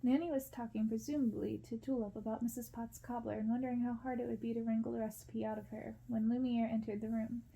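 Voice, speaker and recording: A woman reading a story aloud as ASMR, with gentle, hushed narration. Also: on the high side, little pitch movement, fast talker, vocal fry, few pauses, poor audio. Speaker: ASMR reader.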